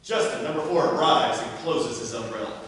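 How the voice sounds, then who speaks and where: A man reading stage directions aloud at a table reading in a plain, measured way. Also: mid-range voice, a bit bright. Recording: echoey hall, far from the mic.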